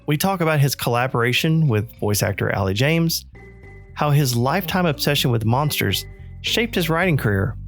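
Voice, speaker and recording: A man's voice: mid-range voice, animated, normal speed, faint music behind. A man hosting a podcast, delivering an introduction and promotional notes to listeners. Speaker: podcast host.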